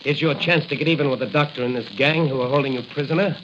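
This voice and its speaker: An actor playing a righteous crime-fighting hero in a declamatory, commanding 1940s radio style. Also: male voice, medium pitch, quick pace, a bit bright, close to an everyday voice. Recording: hissy, dull 1940 radio recording.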